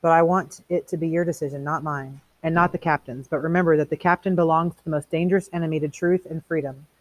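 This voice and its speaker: A reader voicing an earnest male mentor who cautions in a soft, urgent way in a storybook reading. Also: mid-range voice, fairly even intonation, fast talker, no echo, natural voice. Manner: hushed, urgent. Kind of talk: audiobook character reading.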